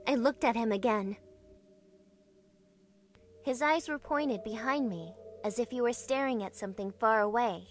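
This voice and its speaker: Woman voicing an anxious, high-voiced young heroine. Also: normal speed, long pauses, slight background noise, natural voice. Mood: uneasy, puzzled. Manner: earnest, dramatic storytelling. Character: young woman, emotional, anxious plane crash survivor. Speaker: narrator and lead character in an amateur fan dub. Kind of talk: scripted first-person dramatic narration. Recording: music playing behind the voice.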